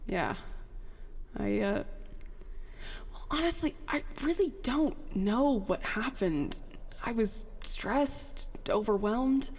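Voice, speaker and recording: A woman's voice: on the high side, lively intonation, slow pace, gravelly, pauses often, darkish tone, long reverb tail, exaggerated voice. A woman playing a bitter, self-doubting young former prodigy in an audio drama, with a sarcastic, self-deprecating, rambling way of talking. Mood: flustered, regretful. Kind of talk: audio drama dialogue, confessional monologue.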